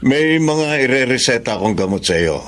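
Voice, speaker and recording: A man's voice: medium pitch, very animated, natural voice. A man with a Filipino accent playing a matter-of-fact, professional doctor in a radio drama. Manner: measured, explanatory. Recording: faint background noise.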